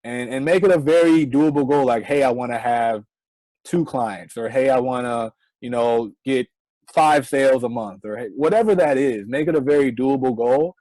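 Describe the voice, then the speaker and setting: A man's voice: medium pitch, moderate pace, mellow, no echo, poor audio. Earnest young man giving business advice. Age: 20s. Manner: earnest, repeats "right?" as a tag. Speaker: guest entrepreneur. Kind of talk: online interview, motivational advice.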